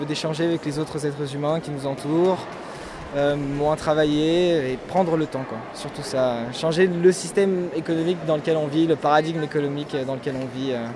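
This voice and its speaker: A man answering a street interview in a relaxed, easygoing way. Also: fairly high pitch, noisy background. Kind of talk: street vox pop.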